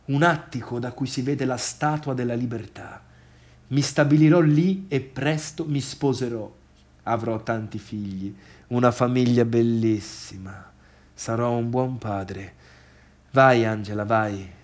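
An actor playing a bitter, unsteady failed man in a theatrical radio monologue, halting and repeating himself between orders and murmurs. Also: mid-range voice, a little mellow, close to an everyday voice. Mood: wistful, subdued. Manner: repetitive, halting, swinging from barked orders to murmurs. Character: bitter, unsteady failed man dismissing his late guests.

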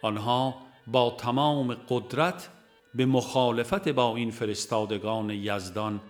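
A man reading a religious audiobook in a measured, formal way, as scripted narration. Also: mid-range voice, steady tone, soft background music.

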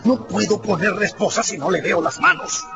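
High-pitched, flustered policeman. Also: fairly quick, gravelly, highs cut off, loud music behind the voice. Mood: baffled. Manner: excitable, exclaiming. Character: agitated policeman, bossy and flustered. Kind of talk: radio drama acting.

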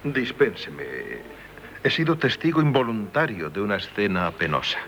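Bright-voiced, courteous prince. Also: male voice, on the low side, big pitch swings, muffled recording. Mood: apologetic. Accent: Castilian Spanish. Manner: courteous, measured, formal. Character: polite, formal, reserved aristocratic prince. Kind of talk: 1970s radio theatre acting. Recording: 1970s radio recording, faint noise.

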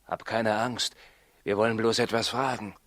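Actor as a forthright traveller, dry sound. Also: male voice, on the low side, steady tone, normal speed, a bit bright, no echo, natural voice. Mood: calling out. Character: forthright travelling stranger seeking a newborn king. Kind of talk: 1960s German radio play acting.

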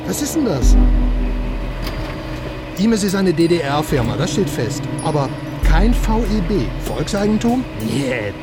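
Eager talky informant, bouncy voice, music playing. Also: male voice, on the high side, slow pace. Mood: explaining. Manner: animated, talkative, excitable. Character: excitable male informant, chatty car fan. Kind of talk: radio drama acting.

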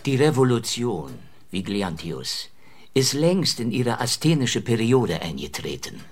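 Pompous, swooping learned gentleman. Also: mid-range voice, big pitch swings, measured pace. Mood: dismissive. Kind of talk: radio play acting.

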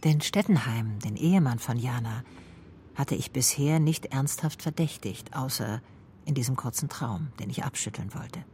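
A woman's voice: very deep voice, animated, moderate pace, background noise. An actress playing a shaken, introspective female private detective who narrates her case in a radio play. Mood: troubled, dreamy.

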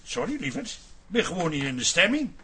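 A man in a comic radio drama playing an excitable, fretting, well-meaning husband and small-time schemer, insistent and theatrical. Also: a little high, fast talker, slight background noise. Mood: fretful. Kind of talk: comedic radio drama acting.

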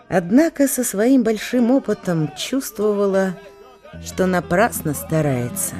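A woman narrating a radio play in an even storytelling manner. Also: fairly low pitch.

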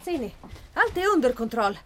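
A woman playing a tough, unflappable bar owner in an audio drama, speaking in a brisk, matter-of-fact way. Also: a little high, very animated, a little hiss or hum. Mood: urgent, commanding. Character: tough, unflappable female bar owner hiding grim secrets.

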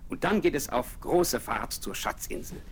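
A man voicing a self-assured middle-aged nobleman who talks with a lively, swinging pitch. He gives orders with keen enthusiasm in a 1970s radio play with faint vinyl hiss. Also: normal speed, lightly acted. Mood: eager. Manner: commanding, enthusiastic planner.

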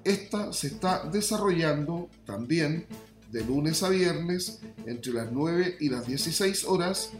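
Slow-paced Chilean radio newsman. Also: a little high. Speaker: radio news presenter. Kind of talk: scripted news bulletin. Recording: roomy echo, music behind. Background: faint music.

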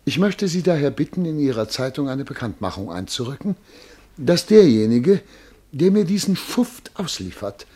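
A self-important official in a radio drama, flustered and indignant. His husky voice sits fairly high and swings widely in pitch as he pleads, halts and puffs himself up. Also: very animated, mellow. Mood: agitated, indignant. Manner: pompous, halting, pleading. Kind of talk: German radio play acting.

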